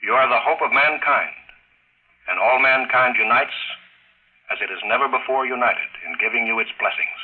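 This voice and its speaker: A man's voice: mid-range voice, normal speed, husky. A man playing a solemn launch controller over a radio intercom in a 1950s drama, with grave, declamatory announcing. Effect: radio intercom filter. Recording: phone-like filtered vintage radio.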